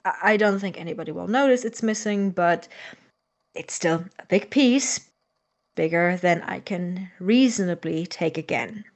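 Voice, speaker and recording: A man's voice: sits high, a little slow. A man playing an anxious, sarcastic young medical student, a reluctant accomplice, in a naturalistic audio drama. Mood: uneasy, factual. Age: young adult. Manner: sarcastic, exasperated.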